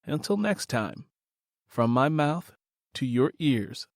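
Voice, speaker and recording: A man's voice: mid-range voice, big pitch swings, takes it slowly, creaky, no room sound. Dry, close-recorded male podcast host. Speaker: podcast host, creator and narrator. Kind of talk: scripted podcast announcement to listeners.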